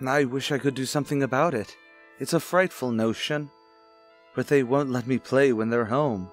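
A man's voice: mid-range voice, faint music behind, close to an everyday voice. Man playing a formal, brooding widowed father. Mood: grave, sorrowful. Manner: formal, measured, deliberate. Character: stern, brooding widowed father with a morbid past. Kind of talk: old-time radio drama acting.

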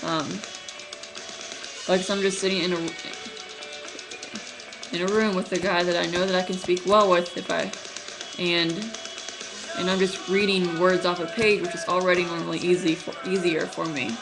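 A young woman in college who stutters, talking casually to her webcam in a small room, with halting speech, many fillers and restarts. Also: fairly low pitch, flat delivery, music in the background, poor audio. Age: young adult. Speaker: vlogger. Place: small room at night, indoors. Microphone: webcam at close range.

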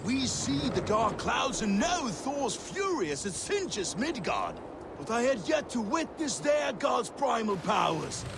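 A musing Viking warrior tells his tale with a gravelly creak and widely swinging pitch. He is curious and earnest, a deliberate game storyteller with boat and water noise behind him. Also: big pitch swings, full character voice. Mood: reflective, wondering. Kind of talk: dramatic storytelling in a video game. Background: lapping water, boat ambience.

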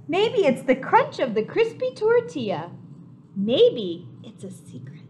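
Animated, high-pitched young woman reading to preschoolers. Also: measured pace, a little hiss or hum. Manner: animated, simple explanatory teacher talk for small children. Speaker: preschool teacher. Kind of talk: children's picture-book read-aloud with questions to the listeners. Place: home kitchen, indoors.

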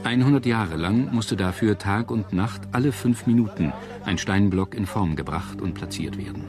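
A man reading scripted documentary narration in a measured, solemn manner. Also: on the low side, music in the background.

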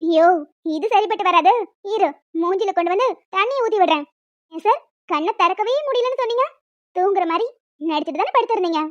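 A woman's voice: very high voice, expressive, a little fast, no echo, dull audio. A woman voicing a young wife in a cartoon drama, playfully scolding and bantering. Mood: mock scolding. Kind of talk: cartoon relationship drama dialogue.

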